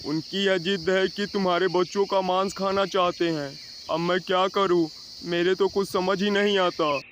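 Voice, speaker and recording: A troubled cartoon father crow, acted by a man, with a high male voice. He is emphatic and heartfelt, with clear insect noise in the background. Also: steady tone, normal speed, fairly bright tone, dull audio. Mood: distressed pleading. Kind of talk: Hindi cartoon moral story dialogue.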